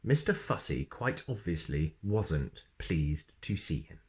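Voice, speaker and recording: A man reading a children's story expressively, with playful emphasis and dramatic pauses. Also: sits low, big pitch swings, darkish tone. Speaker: storybook reader.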